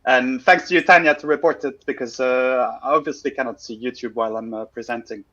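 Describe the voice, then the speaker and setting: A man's voice: medium pitch, fairly even intonation, fairly quick, fairly bright tone. Swiss man presenting over a dull call. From Switzerland. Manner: hesitant, many fillers, self-deprecating. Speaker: guest presenter. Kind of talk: casual livestream presentation. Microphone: video call.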